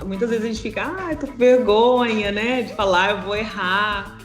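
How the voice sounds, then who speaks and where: A woman's voice: mid-range voice, normal speed, music in the background. Brazilian woman telling stories in an echoey room. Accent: Brazilian Portuguese. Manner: warm storytelling with tag questions. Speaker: English teacher and podcast guest. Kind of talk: casual interview chat.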